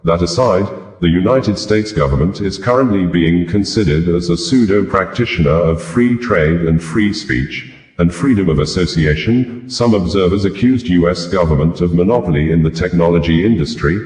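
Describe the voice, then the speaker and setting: A man's voice: low-pitched, moderate pace, a little mellow. A man reading scripted news in a formal, long-winded way. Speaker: news reader, podcast host. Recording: roomy, echoing voice recording.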